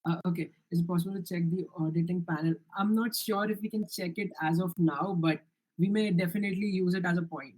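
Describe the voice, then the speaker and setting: Young Indian man demoing software. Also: high-pitched, fairly even intonation, quick pace, low-quality recording. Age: young adult. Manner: earnest, many restarts and "uh" fillers, explanatory. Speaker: student developer presenting his project. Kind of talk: live project demo with Q&A.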